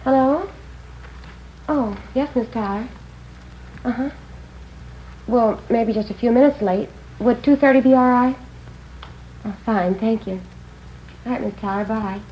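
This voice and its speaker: Quiet, worried older sister played by a woman, American, 1950s radio drama, dull sound, heavy noise. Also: on the high side, unhurried, pauses often, natural voice. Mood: flustered. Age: 30s. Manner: hesitant, worried, polite. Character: anxious, protective older sister, quiet seamstress. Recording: dull, noisy old radio recording.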